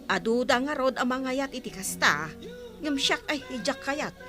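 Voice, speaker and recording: Village councilwoman, played by an actress: animated gossip, reasonable, resigned, music behind. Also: sits high, normal speed. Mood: resigned chatting. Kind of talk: Ilocano radio-style audio drama acting.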